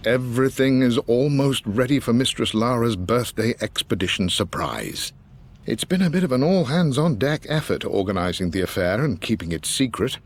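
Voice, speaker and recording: An actor playing a loyal, elderly English butler in a video game, speaking formally and courteously with a British accent. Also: male voice, medium pitch, expressive, moderate pace, slight background noise, close to an everyday voice. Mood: fond, concerned. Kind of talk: dramatic voice acting in a video game.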